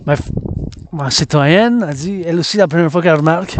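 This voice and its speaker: Man, quebec french accent, declamatory, repeats himself, fairly high voice, outdoors on a trail. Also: a little fast, slight background noise. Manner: declamatory and theatrical, repeats phrases in both languages. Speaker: vlogger. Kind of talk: walking vlog, mixing English and French. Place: outdoors on a wooded mountain path.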